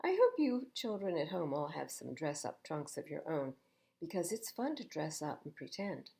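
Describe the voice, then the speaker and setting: Older woman reading storybooks, very animated. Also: low-pitched, moderate pace, gravelly. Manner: emphatic, expressive, addressing young children. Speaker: library storytime reader. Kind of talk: children's storybook reading with chatty asides.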